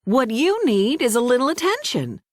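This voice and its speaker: A woman's voice: high-pitched, very animated, moderate pace, no echo, studio quality, voice pushed up. A woman voicing a kindly, cheerful male cartoon mouse in a storybook, friendly and earnest. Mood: sympathetic.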